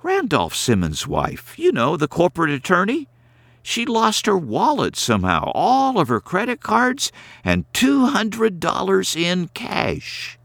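A man's voice: high voice, huge pitch swings, unhurried, gravelly, fairly bright tone, no room sound, voice pushed up, heavily acted. A man doing a patient, teasing young woman. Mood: chiding.

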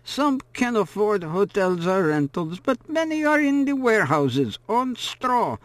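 A man voicing a short, brisk old French woman with a low, pebbly drawl, speaking higher than his own voice. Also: sing-song, dry recording. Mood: chatty.

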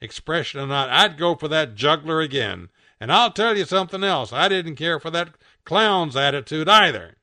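Man playing a cocky, bright-voiced cartoon cat. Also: medium pitch, expressive, unhurried, creaky, dry recording, full character voice. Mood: belligerent. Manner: grumbling, defiant, threatening.

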